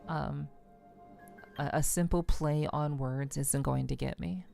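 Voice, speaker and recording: A woman hosting a livestream, chatting casually and softly with her viewers in a relaxed, friendly way. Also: low voice, takes it slowly, vocal fry, music in the background. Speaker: livestream host.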